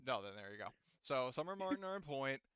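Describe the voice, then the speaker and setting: A man's voice: medium pitch, big pitch swings, fast talker, fairly bright tone, smooth, no room sound, muffled recording. A man acting as game master in an online tabletop roleplaying session, thinking aloud step by step.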